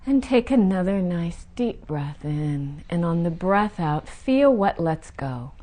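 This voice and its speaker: A woman guiding a meditation, giving soothing, gentle instructions with unhurried pauses between phrases. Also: lowish voice, lively intonation. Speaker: meditation teacher guiding a session. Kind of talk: guided meditation.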